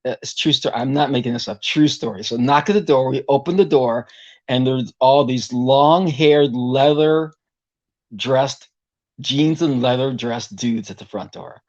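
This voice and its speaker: A man's voice: medium pitch, measured pace, darkish tone, lo-fi sound. A middle-aged man from Puerto Rico with a tenor voice, a guest vocalist telling stories animatedly in a casual interview and acting out other people's voices.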